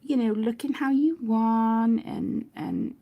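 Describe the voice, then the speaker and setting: A woman's voice: on the high side, fairly even intonation, takes it slowly, lo-fi sound. A British woman chatting casually and in a rambling way as she hosts a colouring stream, often breaking off her own sentences. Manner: chatty, rambling, self-interrupting. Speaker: host of an adult colouring channel. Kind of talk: casual live chat while colouring.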